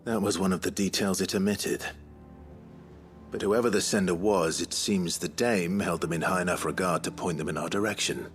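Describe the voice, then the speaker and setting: Low-voiced, weary outlaw leader played by a man. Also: fairly even intonation, moderate pace, soft background music, close to an everyday voice. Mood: grave, measured. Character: weary, resolute male outlaw leader, young adult. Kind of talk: dramatic video game voice acting.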